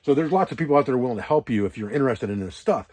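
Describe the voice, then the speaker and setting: Man, medium pitch, informal instructional vlog, rambling explanatory delivery, conversational. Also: expressive, very fast, darkish tone. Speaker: outdoor preparedness instructor and video host. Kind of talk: casual instructional vlog talk. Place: wall tent at a hunting camp.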